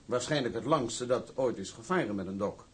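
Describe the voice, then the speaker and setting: A man's voice: mid-range voice, lively intonation, a little fast, slight background noise, lightly acted. An actor playing a sober, probing male newspaper reporter in a drama, speaking formally. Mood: serious, probing.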